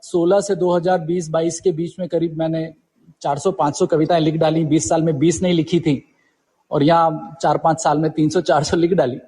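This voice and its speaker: Husky higher-voiced man in reverb. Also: little pitch movement, normal speed, dark tone. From Uttarakhand, India. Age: 40s. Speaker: guest poet. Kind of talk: conversational interview storytelling.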